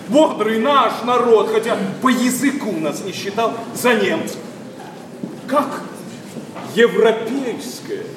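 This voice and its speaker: A man's voice: very high-pitched, recorded from a distance, exaggerated voice. A man playing an impassioned, disillusioned young nobleman in a verse radio play, declamatory and sarcastic. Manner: declamatory, sarcastic, mimics people he mocks. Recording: noisy, echoing theatre hall from afar.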